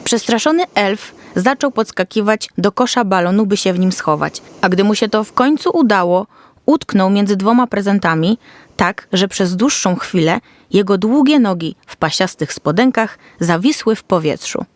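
A woman's voice: medium pitch, animated, moderate pace, no room sound, studio quality. A woman storyteller reading a scripted fairy tale for children.

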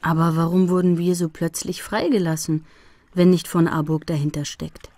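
An actress plays a brooding, suspicious woman with a slightly low, mellow voice. She thinks aloud in a radio drama inner monologue, recorded dry. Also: level tone, normal speed, no room sound, lightly acted.

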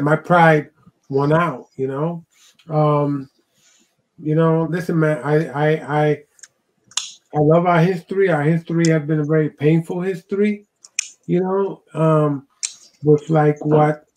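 A man in his sixties talks passionately over a video call from a room at home, using a headset mic. His voice sits a little high, and he leans on "you know" and "listen, man" as he builds his points. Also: darkish tone, poor audio. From Puerto Rico. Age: 60s. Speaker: co-host. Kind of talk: casual video call chat.

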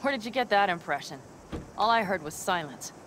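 An actress playing a fiery, strong-willed young nomad woman in a video game, with expressive, emphatic delivery. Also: medium pitch, brisk, slight background noise, close to an everyday voice, low-quality recording. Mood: wry, warm. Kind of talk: cinematic video game voice acting.

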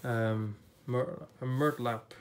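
A man's voice: mid-range voice, slow, a little hiss or hum. Chatty man recovering from illness. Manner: chatty, enthusiastic, thinking aloud with restarts. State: voice a bit off from recent illness. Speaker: YouTuber reviewing a book. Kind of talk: casual book flip-through vlog. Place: indoors at a table before a blue backdrop.

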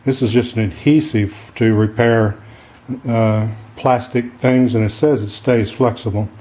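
A man's voice: mid-range voice, steady tone, measured pace, warm tone. A middle-aged man from Texas casually explaining a homemade project in his garage, talking in a plain, rambling way with lots of fillers. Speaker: DIY maker. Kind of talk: casual how-to demonstration. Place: home garage workshop. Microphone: camera mic from a distance.

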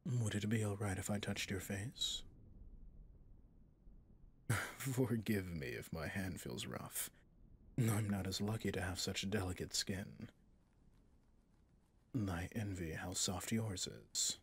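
A man playing a suave, possessive villain boss in an intimate ASMR roleplay, speaking in a courtly, seductive way with a breathy, husky voice. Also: deep voice, takes it slowly, long pauses, full character voice. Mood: gentle, courteous. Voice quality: breathy, husky, often near a whisper.

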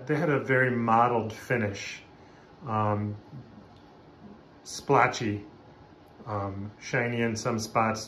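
A middle-aged American man talking casually and haltingly, unscripted, like a hobbyist hosting a vlog in a small room. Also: medium pitch, a bit bright. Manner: rambling, informal, halting. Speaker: video host, hobbyist speaker restorer. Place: small bathroom indoors. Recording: echoey small room, background noise.

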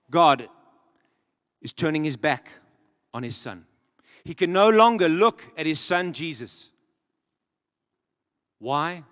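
A young man preaching a sermon on a church stage into a handheld mic, dramatic, swinging between loud emphatic peaks and low quiet drops. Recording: long church hall reverb.

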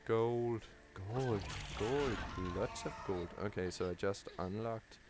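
A man from Denmark, a native Danish speaker, giving tired, halting live commentary on his game play with many ums and restarts. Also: lowish voice, over music. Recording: loud background noise over the voice.

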